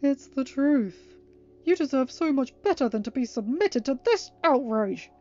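A woman voicing a devoted, protective male chaos creature from a story, with theatrical, drawn-out emphasis and a wounded streak. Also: on the high side, mellow, voice pushed up, close to an everyday voice. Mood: indignant, pleading. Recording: muffled lo-fi audio with music.

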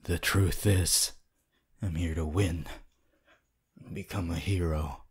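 A man voicing a cold, proud, brooding teenage hero student in an ASMR audio roleplay, husky and breathy, often near a whisper, with terse, deliberate delivery. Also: low-pitched, steady tone, heavily acted. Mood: cold, determined. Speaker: roleplay voice actor.

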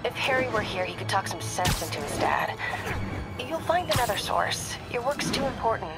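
A woman's voice: a little high, very animated, moderate pace. A woman playing a confident, persistent young American newspaper reporter in a video game, direct and drily teasing. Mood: concerned. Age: young adult. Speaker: investigative reporter character. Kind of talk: cinematic video game acting. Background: background music.

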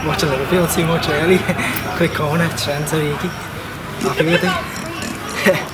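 A man's voice: on the high side, fairly even intonation, measured pace. A young man, a footballer giving a hesitant post-match interview outdoors. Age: young adult. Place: outdoors on an empty football pitch. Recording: distant mic, loud outdoor noise.

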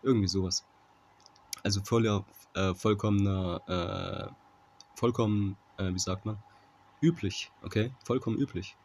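A man with a fairly low voice teaches scripture informally. His delivery is very flat and halting, and he keeps tagging his points to check that the listener follows. The amateur recording has clear background noise. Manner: halting, often checking with "okay?". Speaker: Bible teacher. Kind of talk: informal Bible commentary with scripture reading.

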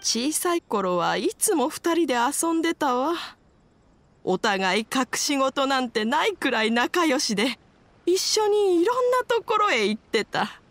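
A woman voicing a kindly, nostalgic old mother in an anime game, gentle and reminiscing. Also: high-pitched, very animated, a little slow, no echo, heavily acted.

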